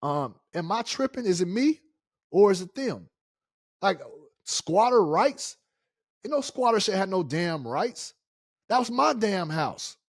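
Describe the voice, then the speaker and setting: A middle-aged American man hosting a casual story time, speaking in an animated, indignant and emphatic way. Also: sits high, a little slow, long pauses, no echo, clean studio sound. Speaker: story-time show host.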